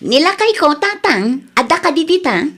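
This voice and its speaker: A woman's voice: high voice, big pitch swings, normal speed, fairly bright tone. A woman playing a cheerful, generous and reassuring younger woman in a comedy radio drama, with an Ilocano accent. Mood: affectionate. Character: cheerful, generous, reassuring female friend and daughter.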